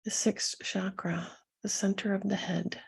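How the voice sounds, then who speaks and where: A woman leading a guided meditation as a coach, speaking softly and soothingly to her listeners with a gentle, husky delivery. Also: mid-range voice, little pitch movement. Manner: soothing, gentle, unhurried instruction with pauses.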